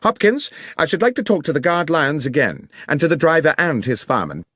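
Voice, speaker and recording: A man voicing a commanding gentleman detective in an audiobook, with precise, formal, probing speech. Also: on the high side, sing-song, a little fast, dry recording, studio quality, pitched above the performer's own voice. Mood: assured, commanding.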